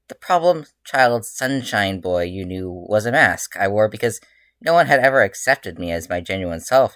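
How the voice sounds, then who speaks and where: A bored, mischievous grown-up male hero , put on by a story reader, airing grievances with theatrical emphasis and drawn-out words. He sounds exasperated and a little unhinged, in a dry audiobook-style reading. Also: deep voice, fairly bright tone, no room sound.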